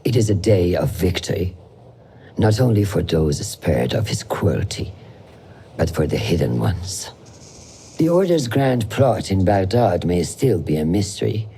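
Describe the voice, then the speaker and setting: An actor playing a stern assassin mentor who speaks in a measured, solemn, instructive way. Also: male voice, deep voice, warm tone, a little hiss or hum. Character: stern older male assassin mentor, calm and grave. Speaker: mentor of the hidden ones. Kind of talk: game cutscene acting.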